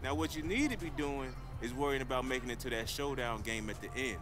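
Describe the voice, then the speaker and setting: A man's voice: medium pitch, fast talker, over music, natural voice. Man acting a streetwise young American baller. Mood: cocky. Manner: slangy, cool, casual. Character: confident, streetwise young male basketball player. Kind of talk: video game cutscene acting.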